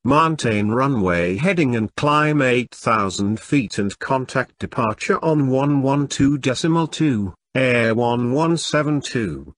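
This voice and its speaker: Male voice for pilot phraseology lesson, mid-pitched, unhurried, formulaic delivery. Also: animated, fairly bright tone, no room sound. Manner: measured, formulaic. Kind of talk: scripted radio phraseology readback.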